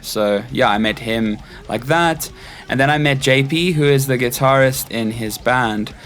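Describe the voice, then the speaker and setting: A South African man in his twenties with a mid-pitched voice shares his stories in an interview. He speaks informally, in a relaxed conversational style, as a stage crew technician would among friends. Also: measured pace. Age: 20s. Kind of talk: casual chat, personal anecdotes.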